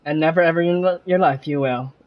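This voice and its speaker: A man's voice: on the high side, fast talker, dry recording, highs cut off. A man giving casual live commentary as a game streamer, with wry asides.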